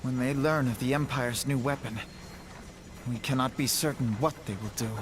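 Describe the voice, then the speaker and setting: An actor playing a solemn, resolute young male scholar-leader in a game cutscene, speaking in a formal, measured way. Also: mid-range voice, steady tone, noisy background, lightly acted. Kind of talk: voiced video game cutscene. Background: game music.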